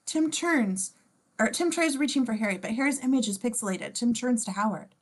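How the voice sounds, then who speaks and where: A woman's voice: fairly high pitch, expressive, a little fast. A woman reading a script's scene descriptions at a table read, informal and playful, with casual asides. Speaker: stage-direction reader.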